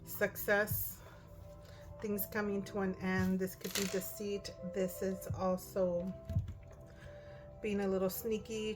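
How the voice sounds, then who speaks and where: A hoarse woman reading tarot cards aloud, thinking out loud and often asking "right?". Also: medium pitch. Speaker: tarot reader. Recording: music in the background.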